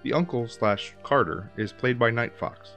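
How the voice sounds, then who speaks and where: A man's voice: medium pitch, normal speed, a bit bright, soft background music. A man reading scripted podcast credits as the show's producer.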